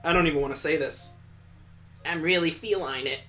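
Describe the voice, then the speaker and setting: A game playthrough host of unclear gender, reading visual novel narration aloud in an expressive, jokey way with casual asides. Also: medium pitch, a little fast.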